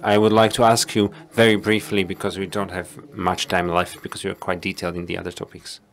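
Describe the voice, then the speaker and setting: Low-voiced man moderating a panel. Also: level tone, fairly quick, vocal fry, a bit bright.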